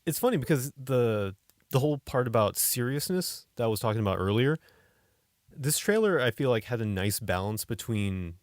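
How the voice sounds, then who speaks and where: A man's voice: mid-range voice, animated, moderate pace, no room sound, studio quality. A man co-hosting a podcast, chatting casually and often hesitating as he searches for words. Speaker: podcast co-host. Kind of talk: casual chat.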